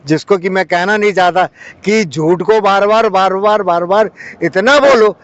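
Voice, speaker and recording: Male monk interviewee, high voice, argues emphatically; dull audio over vehicle noise. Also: normal speed, dry recording. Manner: emphatic, argues with repetition and rhetorical questions. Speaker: Buddhist monk being interviewed. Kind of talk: impassioned interview answers.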